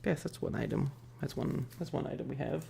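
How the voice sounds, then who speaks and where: An ASMR stream host chatting softly and haltingly to viewers, sometimes in a whisper, close to the microphone. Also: mid-range voice, steady tone, gravelly. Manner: soft-spoken, halting, talking to chat. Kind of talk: casual ASMR stream chat, partly whispered.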